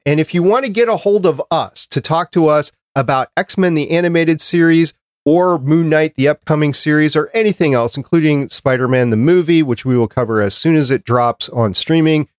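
A man's voice: medium pitch, dry recording, studio quality. A man hosting a podcast in a casual, chatty style. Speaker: podcast host. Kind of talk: casual podcast chat and sign-off.

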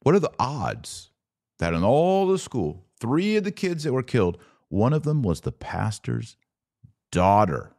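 A man hosting a podcast, giving solo commentary on the news in an earnest, emphatic way with short, deliberate phrases. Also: mid-range voice, very animated, gravelly, darkish tone.

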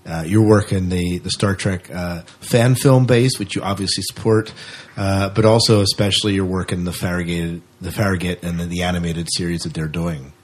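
A man's voice: low-pitched, steady tone, moderate pace, husky. A man hosting a casual podcast interview and speaking with his guest.

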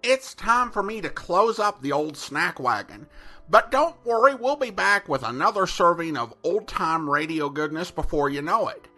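A man's voice: sits high, big pitch swings, moderate pace, sharp tone, soft background music. An American man talking as a podcast host, in conversational commentary.

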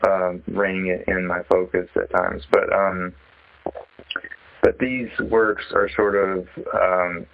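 A man in a casual phone conversation, talking in a halting, reflective way as he searches for the right words. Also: low-pitched, flat delivery, creaky. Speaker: interviewee, sculptor. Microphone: telephone. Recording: dull, band-limited phone call.

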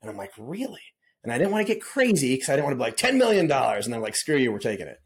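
A man's voice: medium pitch, very animated, rapid-fire. A middle-aged man telling stories as a podcast guest in a casual, laid-back way, often saying dude and man. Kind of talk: casual storytelling interview.

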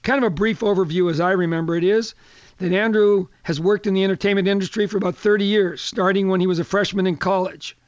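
An older man with a fairly high voice hosts a radio talk show, folksy and chatty and a touch hoarse. The sound is dry and close, with a slightly dull, muffled tone. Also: fairly even intonation, fast, dry recording.

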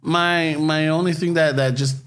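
A man's voice: a little high, steady tone, normal speed, fairly bright tone, smooth. A man co-hosting a casual podcast, slangy and sweary, mixing in Spanish. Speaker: podcast co-host. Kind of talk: casual chat.

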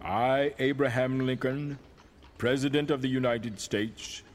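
A man's voice: mid-range voice, steady tone, a little slow, lightly acted. A man playing a solemn, resolute American president delivering a proclamation in a stately, oratorical manner. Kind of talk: dramatic reading of a proclamation.

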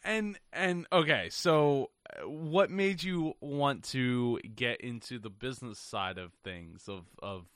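Male podcast interviewer, mid-range voice, casual and halting with repeated words, dry close sound. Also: medium pitch, animated, fairly bright tone, dry recording.

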